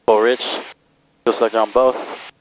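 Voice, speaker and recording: Low-voiced man flying a small plane. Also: level tone, normal speed, muffled recording. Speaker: student pilot. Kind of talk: reading checklists and short replies. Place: small plane cockpit in flight. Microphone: aviation headset. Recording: headset intercom with engine noise.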